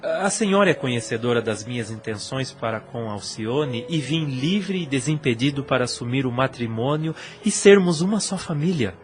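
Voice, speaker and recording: A man's voice: mid-range voice, natural voice. A man with a Brazilian accent playing a hopeful former priest and ardent suitor in a melodramatic audio drama, speaking formally. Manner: formal, pleading.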